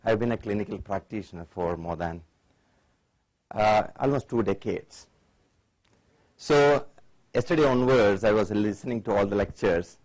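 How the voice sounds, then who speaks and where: Man, Indian accent, 40s, conference lecturer, lively, talks to the audience. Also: fairly low pitch, normal speed, pauses often, low-quality recording. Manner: lively, engaging the audience with questions. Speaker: Ayurvedic doctor, guest lecturer.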